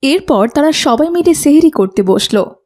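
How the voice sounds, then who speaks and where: A woman's voice: sits high, lively intonation, normal speed, darkish tone. A woman reading scripted narration for a children's story as its narrator.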